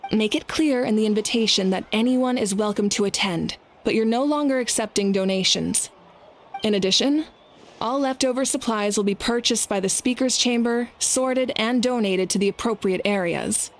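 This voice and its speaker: A woman playing a composed, dutiful leader in a video game, speaking in a measured, decisive and formal way. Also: fairly high pitch, natural voice. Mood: calm, decisive. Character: composed, dutiful female leader of a war-torn nation. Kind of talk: scripted video game dialogue.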